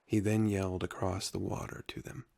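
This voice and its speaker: A man narrating a scripted sci-fi story in a soft, unhurried, solemn manner. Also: low-pitched, little pitch movement, vocal fry, no room sound.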